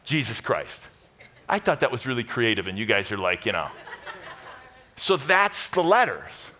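A man's voice: medium pitch, sing-song, moderate pace, bright, forceful tone, slight background noise. A middle-aged American man preaching to a congregation as pastor, teaching in punchy, conversational short phrases with repeated emphasis. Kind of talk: sermon to a live congregation with Bible teaching. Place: church stage, indoors.

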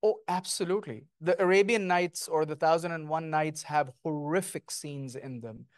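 A middle-aged man, a guest author, speaking thoughtfully and lyrically with many pauses at an onstage conversation, on a handheld mic. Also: on the high side, no room sound, studio quality. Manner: thoughtful, lyrical, with frequent ums. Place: small stage before an audience, indoors.

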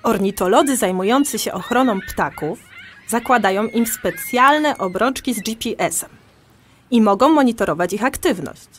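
An actress playing a patient, enthusiastic amateur birdwatcher who explains things in a conversational audio drama. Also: female voice, a little high, expressive, moderate pace, a little hiss or hum, natural voice. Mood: explaining.

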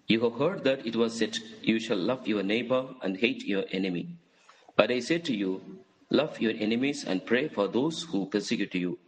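A man reading a gospel passage and then preaching a homily, earnest and measured, posing rhetorical questions. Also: medium pitch, poor audio.

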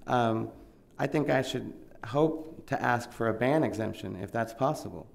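A man's voice: mid-range voice, moderate pace, creaky. A middle-aged man from Oregon, a small farmer, giving earnest, rambling public comment at a county meeting through a table microphone. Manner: earnest, rambling, plain-spoken pleading. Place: county commission hearing room, indoors. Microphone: table microphone, seated.

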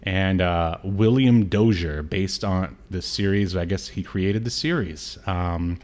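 A man around forty co-hosting a casual film discussion, rambling and halting as he thinks aloud. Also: low voice, a little mellow. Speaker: podcast co-host. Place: indoor room on a video call stream.

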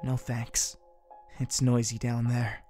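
A man performs a cold, dismissive teenage boy from a dubbed webcomic. He is curt and sarcastic, with a mid-range pitch and faint music behind. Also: completely flat delivery, slow pace, dark tone, lightly acted. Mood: sullen, scornful. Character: spiteful, sullen teenage son, cold and dismissive. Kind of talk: fan dub comic voice acting.

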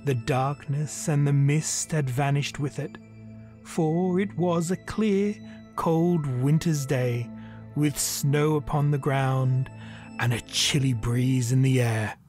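A man narrating a literary story as a scripted reading, measured and deliberate. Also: fairly high pitch, darkish tone. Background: music.

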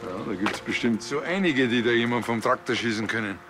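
A man's voice: mid-range voice, expressive, a little fast, reverberant, music in the background, close to an everyday voice. An actor playing a grumbling, self-important village policeman with a blunt Bavarian way of speaking. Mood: dry, casual. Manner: blunt, colloquial.

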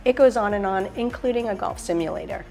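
A middle-aged woman with a mid-range voice, talking through a show apartment in an upbeat sales pitch. She reels off finishes and features with polished enthusiasm. Soft music plays quietly underneath. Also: expressive, quick pace, vocal fry. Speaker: sales director giving a property tour. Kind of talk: polished real estate showcase tour. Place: show apartment kitchen, indoors.

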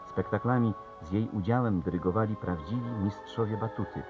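A man with a very low voice narrates a television program. His delivery is formal and scripted as he moves through long lists of names and places. Clear music plays behind him. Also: brisk, muffled recording. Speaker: TV presenter, narrator.

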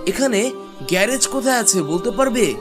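Well-off husband acted by a man, courteous, questioning, cartoon dialogue, clear background music. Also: sits high, expressive, moderate pace. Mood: doubtful. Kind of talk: cartoon voice acting.

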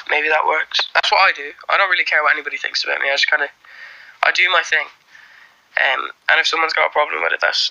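A young man from Ireland, his Irish accent mostly worn away, talks into a phone held close in a small room. His voice sits a little high and comes across very bright and forward. He rambles loosely through viewer comments, full of ums and false starts. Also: gravelly, poor audio. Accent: faint Irish, mostly worn off. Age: young adult. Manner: rambling, relaxed, many fillers and restarts. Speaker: livestreamer. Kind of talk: casual livestream chat reading comments.

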